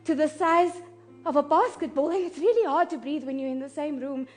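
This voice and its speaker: Woman as earnest shaken child, extremely high voice, halting repetitive phrasing, intense dramatic monologue, music underneath. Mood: hushed, uneasy. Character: shaken child earnestly recounting a violent night at home.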